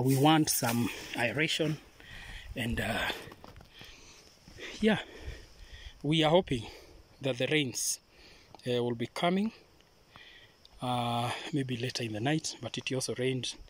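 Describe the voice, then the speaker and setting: A Kenyan man, a native Swahili speaker, talks a listener through a farm task outdoors, pausing often and drifting from the mic as he works with his hands. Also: mid-range voice, slight background noise. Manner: unhurried, hesitant with many pauses. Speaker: avocado farmer. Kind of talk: hands-on farming demonstration.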